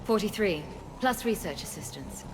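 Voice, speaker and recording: A woman's voice: medium pitch, expressive, background noise, lightly acted. A woman playing a composed refugee scientist. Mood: earnest. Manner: measured, earnest. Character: composed female former research scientist. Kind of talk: video game voice acting.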